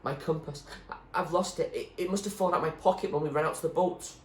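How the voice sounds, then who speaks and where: A man's voice: fairly high pitch, fairly even intonation, fast talker, reverberant, pitched above the performer's own voice, close to an everyday voice. A man voicing a brave, practical young girl in a storybook reading, urgent and matter-of-fact. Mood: worried.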